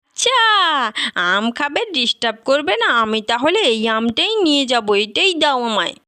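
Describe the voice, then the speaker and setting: A woman's voice: high-pitched, very animated, moderate pace, bright, forceful tone, clear, resonant tone, dry recording, studio quality, heavily acted. A woman voicing an eager, whiny little daughter doll who pleads and complains in a children's doll story. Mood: whiny, pleading.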